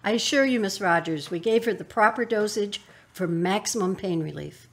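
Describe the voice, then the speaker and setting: A woman acting a polite, sympathetic, procedural hospital nurse in a radio drama, formal and measured. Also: mid-range voice, close to an everyday voice. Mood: reassuring, formal. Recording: clearly noisy, clicky recording.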